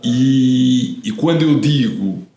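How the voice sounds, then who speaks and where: A man's voice: mid-range voice, animated, slow, warm tone, echoey room. A man in his fifties, born in Italy, talking thoughtfully as a podcast guest, with frequent hesitations and restarts. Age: 50s. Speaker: guest, real estate professional. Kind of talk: relaxed interview conversation.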